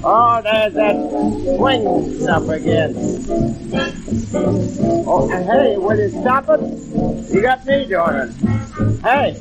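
A man's voice: high-pitched, expressive, slow, long pauses, low-quality recording. An actor playing a dim, polite novice hitman in a 1940s radio comedy, speaking plainly and with naive courtesy. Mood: agitated. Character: dim, polite novice gangster hitman who hates swing. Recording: old radio recording with music.

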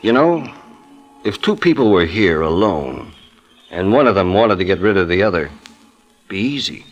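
A deep-voiced, quietly menacing detective. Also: male voice, sing-song, moderate pace. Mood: quietly needling. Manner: terse, probing, coolly insinuating. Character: Johnny, smart, dogged, quietly menacing New York police detective. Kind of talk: 1940s-50s radio crime drama acting.

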